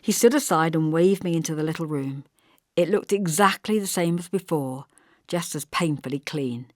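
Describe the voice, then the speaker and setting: A woman's voice: deep voice, moderate pace, dry recording. Woman narrating an audiobook, very dry sound. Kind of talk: scripted literary narration in first person.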